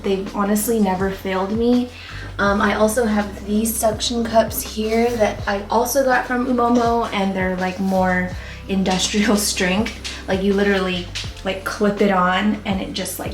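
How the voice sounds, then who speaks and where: A tired young woman whose voice is going hoarse, chatting casually and rambling with fillers as she shows her plant room. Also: mid-range voice, reverberant. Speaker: plant YouTuber. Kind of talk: casual vlog talk. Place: warm home plant room. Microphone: camera mic with ring light.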